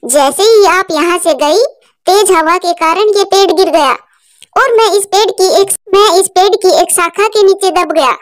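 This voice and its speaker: Woman voicing a shrill little boy eaglet. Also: measured pace. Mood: earnest. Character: small young boy eaglet, earnest and whiny. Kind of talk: children's cartoon voice acting.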